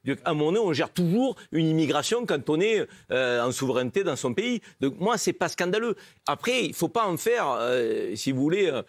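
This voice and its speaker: A man's voice: on the high side, sing-song, moderate pace, no room sound. A man speaking as a panelist in a political debate, emphatic and opinionated.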